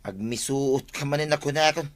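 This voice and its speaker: A man's voice: medium pitch, brisk, natural voice. A man playing a cheeky, wheedling neighbour who asks favours, in an Ilocano radio comedy drama.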